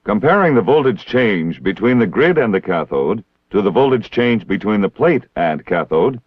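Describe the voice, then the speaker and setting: A low-voiced American man delivers formal instructional narration with lively rises and falls in pitch. His enunciation is measured and precise, and the sound is that of a dull, dated 1960s film soundtrack. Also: breathy edge, no echo.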